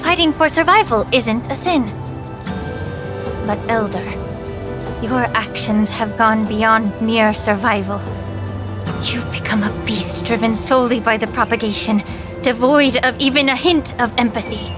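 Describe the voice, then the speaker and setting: Lady voicing a poised game healer official, highish voice, polite but pointed, formal, music underneath. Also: a little slow, close to an everyday voice. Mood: cool, accusing. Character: poised, sharp-minded young female chief healer and official. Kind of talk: dramatic video game voice acting.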